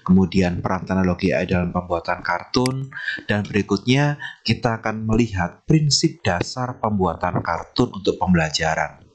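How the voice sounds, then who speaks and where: Man with a low voice giving a training lesson. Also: a little hiss or hum. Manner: addresses listeners politely, reads slide points. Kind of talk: online training lecture.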